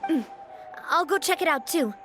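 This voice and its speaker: A woman's voice: sits high, expressive, moderate pace. A woman playing a confident, upbeat young female boss in a video game. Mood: friendly, assured. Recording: game music behind the voice.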